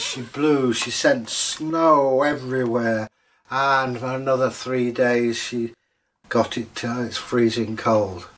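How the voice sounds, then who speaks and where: A man's voice: medium pitch, a bit bright, slight background noise. A man telling stories aloud in a theatrical, joking manner, drawing out words for emphasis. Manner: theatrical, jokey, drawn-out emphasis. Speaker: storyteller. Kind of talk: animated oral folktale telling and chat.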